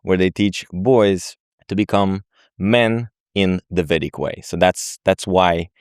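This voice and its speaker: A man in his twenties from Israel, a podcast guest explaining in a calm, matter-of-fact way. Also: low-pitched, slow pace, smooth, dry recording, clean studio sound. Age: 20s. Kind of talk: podcast interview.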